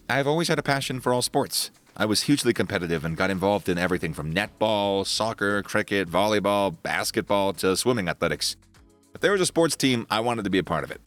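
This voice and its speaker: A man reading a scripted sports news narration in a steady voice, reading the quotes in the same voice as the rest. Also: sits low, dry recording. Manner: steady read-aloud delivery, reads quotes in the same voice. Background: faint background music.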